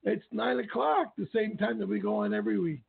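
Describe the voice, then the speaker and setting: A man hosting a casual late-night radio show, hoarse and raspy from being sick, rambling and joking. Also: high voice, huge pitch swings, fairly quick. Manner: rambling, joking, laughs often. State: hoarse from illness. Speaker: radio talk show host. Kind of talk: casual banter. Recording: narrow phone-like sound.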